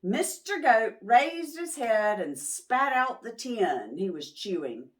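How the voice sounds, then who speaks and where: A woman reading a picture book expressively to preschoolers, pausing to question and explain. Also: fairly high pitch, sing-song. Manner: pauses to question and explain to young listeners. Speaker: children's storytime host.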